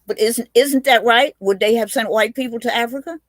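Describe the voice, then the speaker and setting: A woman's voice: a little high, fairly quick, dry recording, clean studio sound. A woman, a witty, blunt guest telling stories in a casual video chat, often restarting her sentences. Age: 80s. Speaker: guest, aunt.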